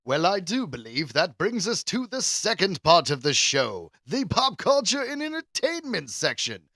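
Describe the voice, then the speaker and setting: A man's voice: high voice, wildly swooping pitch, moderate pace, sharp tone, dry recording, clean studio sound. A man co-hosting a podcast in a casual, chatty style. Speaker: podcast co-host. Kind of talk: casual chat.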